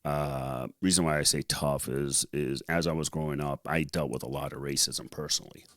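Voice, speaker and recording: Super deep voiced guy chatting on a podcast, stops and starts a lot, real dry close sound. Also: steady tone, moderate pace, a bit bright, no echo. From born in Liberia, later raised in the US, of Lebanese family. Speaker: podcast co-host and article writer. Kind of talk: casual conversational storytelling.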